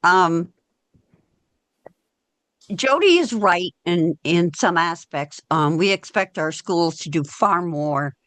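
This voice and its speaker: A woman's voice: lowish voice, animated, slow pace, pauses often, a bit bright. A woman from Vermont joining a board meeting online to give a hesitant public comment.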